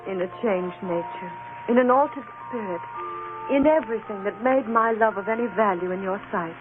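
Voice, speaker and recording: An actress playing a sorrowful, gentle young woman in a 1930s radio drama, speaking in a soft, wistful, measured way. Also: mid-range voice, phone-quality sound, natural voice. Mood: sorrowful reproach. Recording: vintage band-limited radio broadcast with music behind.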